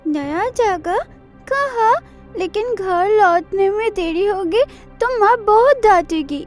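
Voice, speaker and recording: Very high, slow little schoolgirl. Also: expressive, soft background music, heavily acted. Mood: sulky, hesitant. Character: withdrawn, sad little schoolgirl. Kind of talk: Hindi cartoon story dubbing.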